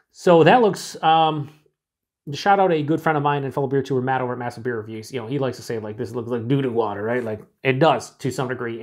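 A middle-aged man reviewing a beer alone to camera in a small room. He talks in a chatty, excited way, swears freely and sometimes drops to breathy whispers. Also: mid-range voice. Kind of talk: casual solo review to camera.

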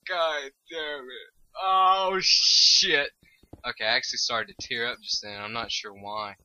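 A man's voice: medium pitch, fairly even intonation, unhurried, pushed and bright. A tired young man rambling through casual gameplay commentary, swearing freely and losing his train of thought. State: tired and stoned. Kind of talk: casual let's-play commentary.

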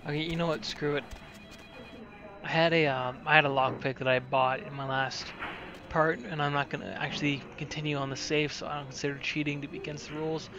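A man gives casual video game commentary in a slightly high, creaky voice. His intonation stays flat, and there is clear noise with game ambience behind him. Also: a little fast, lo-fi sound. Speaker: gamer narrating a roleplay playthrough.

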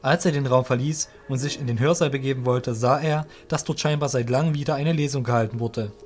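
A man narrating a scripted fantasy audio drama as a storyteller, with measured delivery. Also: medium pitch, fairly even intonation, faint music behind.

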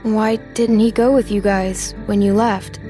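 A woman's voice: mid-range voice, fairly even intonation, unhurried, warm tone, natural voice. A woman playing an earnest, cautious young girl survivor in a video game. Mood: subdued, earnest. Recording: music playing behind.

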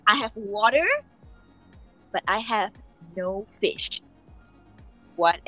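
A young woman, hosting a playful video-call interview in a bubbly, expressive way. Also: mid-range voice, slow pace, soft background music, poor audio. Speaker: radio show host. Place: indoor studio room.

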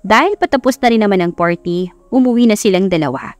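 A woman's voice: medium pitch, lively intonation, quick pace, no room sound. A woman with a Filipino accent reading a scripted romance as a dramatic audiobook narrator. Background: background music.

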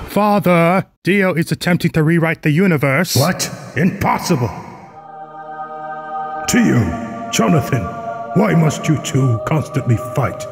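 A man voicing pompous, declamatory anime aristocrats in a theatrical comic dub. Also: fairly high pitch. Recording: long hall reverb, music behind.

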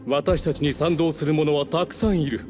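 A haughty nobleman of a fallen clan , played by a man, pitched a little high for a man. He speaks with pompous, formal condescension, like a schemer in a Japanese game. Music plays clearly behind him. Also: fairly even intonation, normal speed, close to an everyday voice. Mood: smug, assured.

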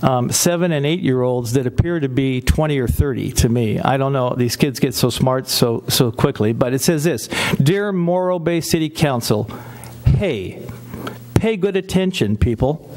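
A man's voice: mid-range voice, normal speed. An older man in his sixties, a city council member, reading a child's letter aloud at a meeting with amused asides, speaking into a desk microphone in a council chamber. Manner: warm, amused asides between read lines. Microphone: desk gooseneck mic.

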